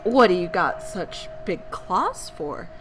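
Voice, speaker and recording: A woman's voice: on the low side, expressive, slow, music in the background, low-quality recording. A woman voicing a frightened, naive young boy in a scary storybook tale. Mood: scared, curious.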